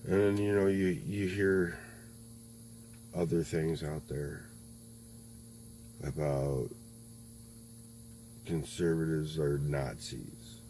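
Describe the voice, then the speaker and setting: A man in his thirties in a rambling political monologue, halting, full of fillers and frequent swearing. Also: rumbling low, fairly even intonation, mellow, low-quality recording. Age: 30s. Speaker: video blogger. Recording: faint mains hum.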